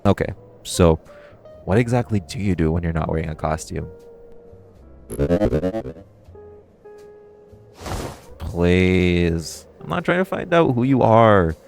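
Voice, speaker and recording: A low-pitched, flirty male supervillain played by a man in an intimate audio roleplay. He is cocky and curious, teasing the listener in a casual, conversational way with playful pauses. Music plays clearly behind him. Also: animated, darkish tone.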